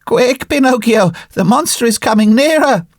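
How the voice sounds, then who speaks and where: A man's voice: extremely high pitch, animated, moderate pace, creaky, dry recording, studio quality, voice pushed up, heavily acted. A man voicing a little female goat in an audiobook, calling out urgent pleas. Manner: urgent pleading. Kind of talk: audiobook reading.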